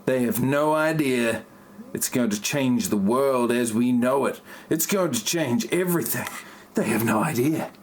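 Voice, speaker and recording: Man acting a slow, stilted, aggrieved novelist. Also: mid-range voice, slight background noise, lightly acted. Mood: earnest, stilted. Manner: stilted, robotic delivery. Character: stiff, aggrieved male novelist and self-styled hacker. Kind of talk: amateur parody audio drama acting.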